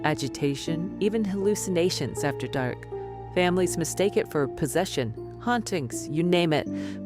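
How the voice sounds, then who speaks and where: An actress playing a composed, self-assured middle-aged geriatrics doctor as a guest on a radio drama talk show. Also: female voice, low voice. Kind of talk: scripted radio drama interview.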